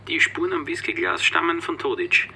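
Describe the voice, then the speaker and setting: An actor in a radio play as a businesslike police assistant, husky and matter-of-fact. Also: male voice, fairly high pitch, fairly quick, pushed and bright, slight background noise, heavily acted, low-quality recording. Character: businesslike male police assistant reporting to his chief. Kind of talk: German radio play acting.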